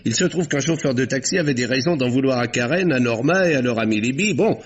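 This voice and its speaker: An actor playing a calm doctor-detective who exposes a murderer in an old radio drama, speaking in a measured, pointed way with dramatic pauses. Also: male voice, medium pitch, a little hiss or hum, close to an everyday voice. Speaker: Doctor Westlake. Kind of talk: 1950s radio drama acting. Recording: dull old radio recording.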